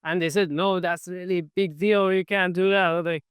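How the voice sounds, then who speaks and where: A young man with as his hosts a casual interview, his slightly high voice smooth and clear. He talks loosely with frequent "like" fillers. The recording is dry and close. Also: steady tone, a little fast, no echo. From Iran. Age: 20s. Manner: chatty, non-native phrasing, frequent "like" fillers. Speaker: podcast host.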